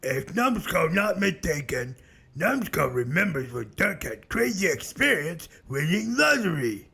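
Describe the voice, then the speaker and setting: A man's voice: on the high side, sing-song, unhurried, creaky, pushed and bright, husky, full character voice. A man voicing a simple, earnest brute in a web cartoon, speaking broken English in the third person. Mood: recalling. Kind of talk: scripted character acting in web animation.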